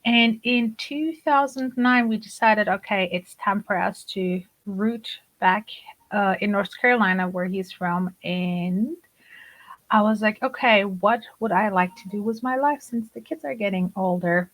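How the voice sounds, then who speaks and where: A woman's voice: medium pitch, measured pace, a bit bright, rough recording. A woman in her forties, raised in Germany with Turkish as her first language, chatting as a podcast guest and laughing often. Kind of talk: conversational interview.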